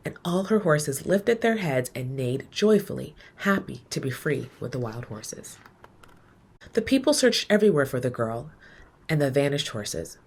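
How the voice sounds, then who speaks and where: Woman, low husky voice, children's storybook read-aloud, measured and expressive delivery, soft phrase endings. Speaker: educator reading a children's book aloud.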